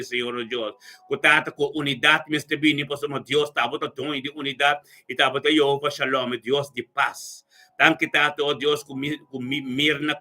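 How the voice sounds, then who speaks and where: A man prays aloud in a very bright, forceful voice of medium pitch. His delivery is fervent and improvised, built on repeated, chant-like invocations as he leads the prayer. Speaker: prayer leader. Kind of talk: extemporaneous charismatic prayer.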